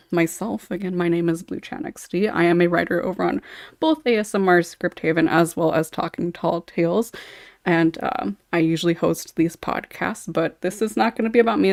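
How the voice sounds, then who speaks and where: A woman's voice: fairly low pitch, gravelly, darkish tone, no room sound, clean studio sound. A woman hosting a casual podcast, chatting informally as she introduces guests. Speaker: podcast host and writer.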